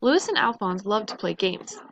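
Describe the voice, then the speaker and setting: A woman's voice: medium pitch, sing-song, normal speed, noisy background. A woman reading a children's story aloud in a narrating style. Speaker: storybook reader.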